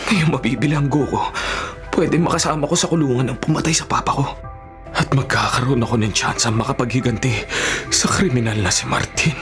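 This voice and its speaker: A man's voice: medium pitch, a little fast, music in the background, lightly acted. Actor as a bitter, vengeful young Filipino man. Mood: defiant. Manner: intense, bitter, resolute. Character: vengeful, unrepentant young son, imprisoned for killing. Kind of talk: Filipino radio drama acting.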